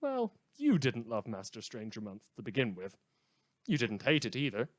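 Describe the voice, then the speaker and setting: A man's voice: medium pitch, wildly swooping pitch, normal speed, no room sound. A man playing a wry, opinionated community radio host in an audio drama, reading scripted news with a theatrical, dryly ironic delivery. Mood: theatrical, ominous.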